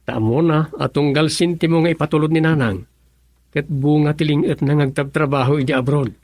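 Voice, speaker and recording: An actor playing an earnest young elder brother in a family radio drama, speaking with an Ilocano accent. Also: male voice, fairly high pitch, fairly even intonation, a little fast, darkish tone, no room sound, clean studio sound. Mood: explaining.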